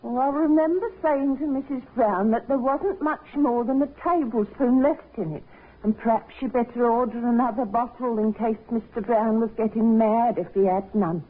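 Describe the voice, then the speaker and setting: Overworked female cook, colloquial, plain-spoken, slightly high, husky, muffled 1950s radio drama. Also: normal speed. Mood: chatty, guarded.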